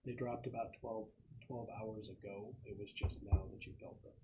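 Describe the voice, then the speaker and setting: A man acting as game master, casually narrating a tabletop game in a halting, explanatory way. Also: fairly low pitch, fairly even intonation, warm tone. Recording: dull lo-fi recording with clear noise.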